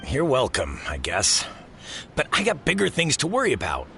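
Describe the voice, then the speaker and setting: An actor playing a blunt, exasperated but fair shop boss in a video game, with a folksy manner. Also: male voice, mid-range voice, huge pitch swings, normal speed, creaky, sharp tone, slight background noise, full character voice. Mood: gruff, baffled. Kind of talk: video game character acting.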